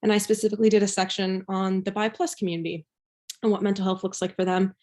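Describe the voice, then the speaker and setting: A young woman from Belgium presents information in a casual, student-to-student way. Her voice sits at a medium pitch, and she sounds keen and informal as she explains things. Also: flat delivery, fast, a little mellow. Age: young adult. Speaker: student peer counselor presenting.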